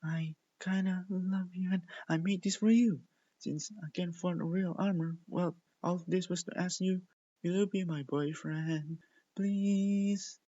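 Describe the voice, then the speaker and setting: Guy doing a playful girly pony prankster for a story, pitched up, teasing, kinda lofi. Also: fairly even intonation, unhurried, dark tone, no room sound, muffled recording. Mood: bashful, hesitant. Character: playful young female pony prankster, bold yet bashful.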